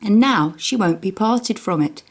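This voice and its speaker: A woman reading a children's book aloud in an expressive storytelling manner, with dramatic pauses. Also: medium pitch. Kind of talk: expressive children's audiobook narration.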